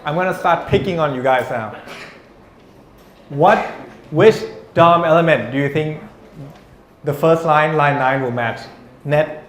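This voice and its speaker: A man's voice: fairly high pitch, a little slow, long pauses, a bit bright, slight background noise. A male university lecturer teaching a class, teasing students and calling on them by name. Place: lecture room. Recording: echoey lecture room.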